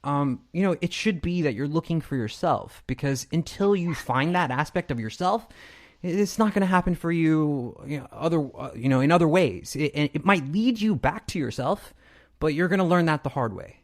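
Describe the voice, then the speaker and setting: A man hosting a conversational interview podcast, speaking reflectively and haltingly, often repeating words as he forms a thought. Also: a little high, animated. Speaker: podcast host.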